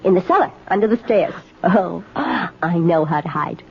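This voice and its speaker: A woman's voice: on the low side, very animated, moderate pace, slightly rough, exaggerated voice. A woman playing a brazen young drifter girl in a 1970s radio drama, taunting, coaxing and commanding. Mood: bossy, defiant. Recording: dull, muffled old radio broadcast.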